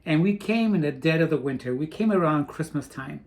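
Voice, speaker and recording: A man's voice: fairly high pitch, animated, fairly quick, a little mellow. A man from Portugal chatting casually in a vlog, rambling with frequent restarts. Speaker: vlogger. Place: home in Florida.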